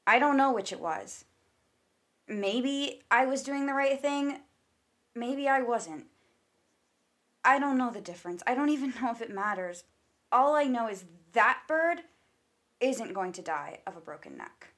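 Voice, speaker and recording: Frustrated, guarded sister in stage drama; forceful, bright tone, long room reverb. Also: female voice, on the high side, lively intonation, measured pace, pauses often. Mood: brooding, subdued. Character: guarded, frustrated older sister of an ambitious student. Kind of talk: stage-play dialogue acting. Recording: reverberant hall sound.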